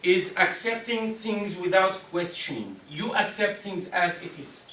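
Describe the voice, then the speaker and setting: Arabic-speaking man, firm class leader, highish voice, heard from afar in an echoey noisy room. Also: lively intonation, measured pace, sharp tone, recorded from a distance. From Syria. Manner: firm, explanatory, laughs while arguing. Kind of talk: classroom discussion.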